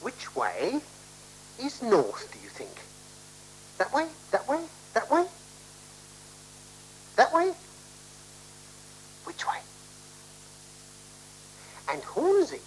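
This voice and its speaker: A woman's voice: high-pitched, full character voice, poor audio. An actress playing a prim, coolly evasive woman in a radio play, genteel, coaxing and patronizing. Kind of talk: 1970s radio play acting. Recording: faintly hissy 1976 radio broadcast.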